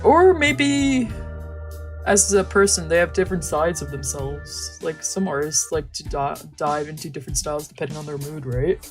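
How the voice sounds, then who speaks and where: A young woman doing casual let's play commentary and reading a visual novel aloud, with slangy, sweary talk. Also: lowish voice. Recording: music behind the voice.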